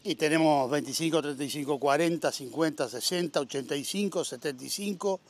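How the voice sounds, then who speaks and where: A man's voice: on the high side, a little fast. A middle-aged man with a Uruguayan Spanish accent, a machinery dealer promoting his tractors outdoors at a farm fair, with lots of "este" fillers. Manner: upbeat salesman, filler-heavy with frequent "este". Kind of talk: casual outdoor interview.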